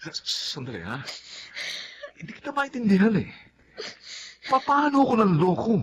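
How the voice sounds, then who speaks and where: An actor playing an earnest, easily flustered young boyfriend in a Tagalog radio drama, on a muffled recording. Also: male voice, sits high, huge pitch swings, normal speed, a bit bright, slight background noise, heavily acted. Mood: flustered, defensive.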